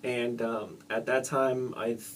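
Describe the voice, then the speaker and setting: A young man, from Hong Kong, talks through his story as a fitness coach in a relaxed, casual way, filling pauses with ums and uhs. His voice sits at an ordinary middle pitch. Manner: casual, laid-back, many ums and uhs. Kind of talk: relaxed interview answers.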